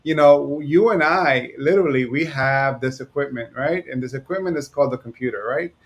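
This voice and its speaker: A man from New York who owns a digital agency, talking fast and rambling in a casual chat. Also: medium pitch. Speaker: digital agency owner, co-host.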